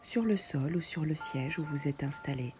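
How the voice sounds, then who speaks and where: A woman guiding a meditation in soft, breathy short phrases with long pauses, often close to a whisper. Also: sits low, music in the background. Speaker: yoga nidra meditation guide. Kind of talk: guided meditation.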